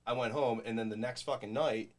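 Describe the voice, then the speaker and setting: A man's voice: mid-range voice, fairly even intonation, fairly quick. A man with a nasal voice and a Rochester, New York accent, co-hosting in blunt, profane casual chat. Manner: swears freely, blunt and joking. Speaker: podcast co-host.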